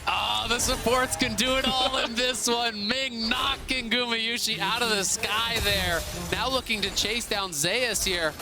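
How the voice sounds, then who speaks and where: A man with a high voice talks through esports strategy in a conversational, thoughtful manner as color commentator. Game music plays clearly behind him. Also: fairly even intonation, a little slow, few pauses.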